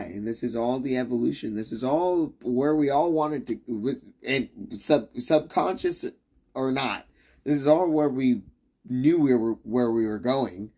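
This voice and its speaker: Man streamer, fried low rasp, mellow dark tone, swearing and rambling, keeps cutting himself off and needling the audience. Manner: profane, rambling, restarts sentences often; conspiratorial, challenging the listener. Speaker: livestreamer. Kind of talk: rambling livestream rant.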